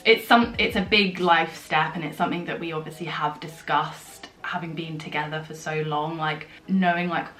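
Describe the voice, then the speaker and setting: A young woman, slightly husky from a sore throat, chatting and rambling through a get-ready-with-me vlog with many fillers. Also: lowish voice, bright, forceful tone. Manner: rambling, filler-heavy storytelling. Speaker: beauty vlogger. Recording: echoey room with faint music.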